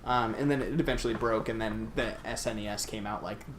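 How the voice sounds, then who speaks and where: A man's voice: lowish voice, steady tone, a little fast, a little hiss or hum. A man talking casually on a video game podcast, informal and opinionated, putting emphasis on his points. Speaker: podcast host. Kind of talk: casual podcast chat about video games.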